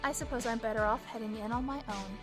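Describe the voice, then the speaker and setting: Actress as high-voiced young woman student. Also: fast talker, over music, close to an everyday voice. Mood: wistful. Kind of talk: audio drama acting.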